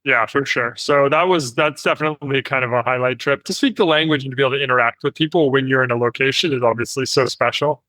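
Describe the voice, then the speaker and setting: An American man, a company founder, telling travel stories as an interview guest with lively delivery and frequent fillers. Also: medium pitch, fast talker, few pauses, no echo, rough recording. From United States. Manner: animated storytelling with frequent fillers. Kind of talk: conversational interview.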